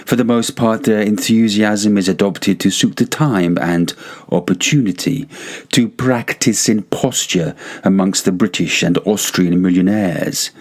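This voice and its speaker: A man narrating gothic fiction as an audiobook reader, dramatic and theatrical with deliberate phrasing. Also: on the low side, a little mellow.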